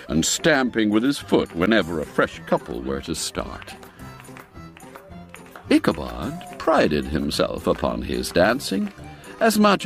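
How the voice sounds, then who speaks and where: Slow, theatrical male storyteller. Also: no echo, clean studio sound. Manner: theatrical, relishing old-fashioned phrases; slow and deliberate, with wide swoops in pitch. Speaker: narrator. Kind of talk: dramatic audio-drama storytelling.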